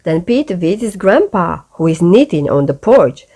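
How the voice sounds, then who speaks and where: A woman reading a children's picture book aloud as a bedtime story, with expressive, deliberate delivery for young listeners. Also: lowish voice.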